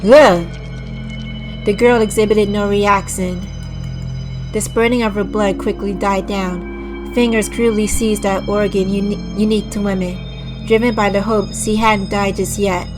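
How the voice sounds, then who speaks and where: Slow-reading woman, slightly raspy, music behind. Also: medium pitch, fairly even intonation. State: slightly raspy, frequent throat clearing. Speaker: streamer reading a visual novel aloud. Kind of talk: slow dramatic read-aloud with casual asides to chat. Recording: music playing clearly behind the voice.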